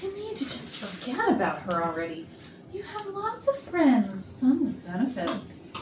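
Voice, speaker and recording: A self-satisfied, flirty female HR manager in a stage comedy acted by a woman. She is arch and catty, with lively swings in pitch. The sound is far from the mic, with faint noise. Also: measured pace, heavily acted. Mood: flirty, coaxing. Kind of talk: staged reading of comedy dialogue. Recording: noisy roomy rehearsal, far from mic.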